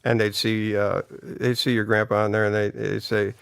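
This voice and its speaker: An older man reminiscing as a guest, talking haltingly with frequent restarts and fillers. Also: on the low side, level tone, creaky, smooth, no echo. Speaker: guest, son of the pianist. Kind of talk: reminiscing and reading listener comments.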